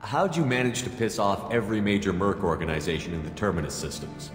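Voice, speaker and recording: A man's voice: sits low, quick pace, faint music behind, natural voice. An actor playing a level-headed male military commander in a video game cutscene, speaking in a matter-of-fact, dry way. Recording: reverberant game mix with score.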